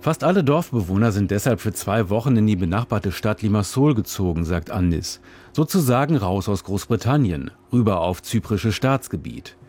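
A man narrating a scripted radio reportage in a measured, descriptive storytelling manner. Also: on the low side, expressive, darkish tone.

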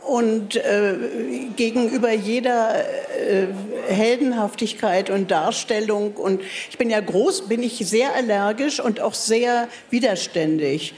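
A woman's voice: a little high, a little slow, a little mellow. Hesitant older woman with a Berlin accent. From East Germany. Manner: hesitant, many fillers and restarts; laconic, self-mocking. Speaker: writer and guest speaker. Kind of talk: interview.

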